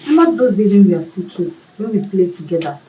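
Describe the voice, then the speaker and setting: A woman's voice: mid-range voice, animated, fast talker, dark tone, distant mic, slight background noise, natural voice, low-quality recording. A woman with a Nigerian accent acting an outspoken friend in a film drama. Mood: pleading, forgiving.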